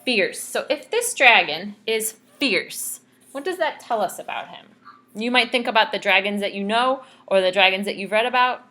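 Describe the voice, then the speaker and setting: A woman reading a story aloud to children in a teacherly, expressive way, pausing to ask questions. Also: medium pitch. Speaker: speech therapist reading aloud.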